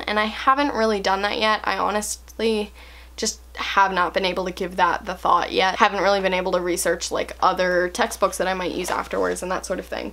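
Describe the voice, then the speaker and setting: A woman's voice: mid-range voice, creaky. Chatty young woman vlogging progress. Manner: chatty and candid, with frequent fillers and soft laughs. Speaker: language-learning vlogger. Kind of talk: casual talk to camera.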